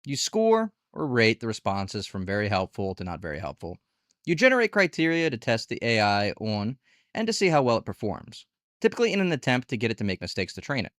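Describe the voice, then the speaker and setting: A man's voice: fairly low pitch, huge pitch swings, brisk, a bit bright, no room sound, clean studio sound. A man hosting a conversational review and tutorial, speaking casually and candidly and posing rhetorical questions. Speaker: video host reviewing online gig work platforms.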